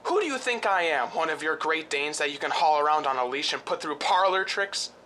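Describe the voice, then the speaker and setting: A man playing a weak, brooding husband with a violent temper and a halting delivery in a suspense radio drama. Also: expressive, bright, forceful tone. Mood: seething. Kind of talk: 1940s-style suspense radio drama acting. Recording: noisy recording with low rumble.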